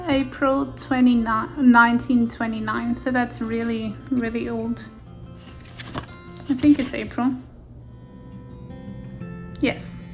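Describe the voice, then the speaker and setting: Woman crafter, Afrikaans first language, soft and fairly high voice, faint music underneath. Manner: gentle, softly enthusiastic, unhurried. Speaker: junk journal maker. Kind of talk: casual flip-through narration. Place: indoors at a craft table.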